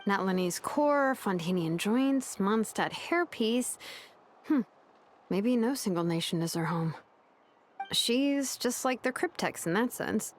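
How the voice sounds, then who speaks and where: A woman's voice: medium pitch, expressive, slow pace. A woman playing a curious, analytical young tinkerer in a video game, explaining technical things in a friendly way. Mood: musing, curious. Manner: technical, explanatory, hesitant when simplifying.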